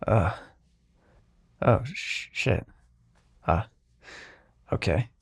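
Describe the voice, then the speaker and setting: A man playing a grumpy but reluctantly kind immortal dream-bringer in an ASMR roleplay, brusque and halting. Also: lowish voice, animated, gravelly, husky, heavily acted. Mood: awkward concern. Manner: brusque, exasperated, halting, softening into concern.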